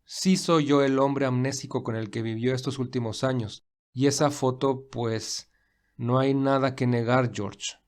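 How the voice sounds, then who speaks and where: Reserved young millionaire, played by a man, measured. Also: mid-range voice, steady tone, a little mellow, natural voice. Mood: firm, earnest. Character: William, reserved young millionaire, weary and principled. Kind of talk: audio story dialogue.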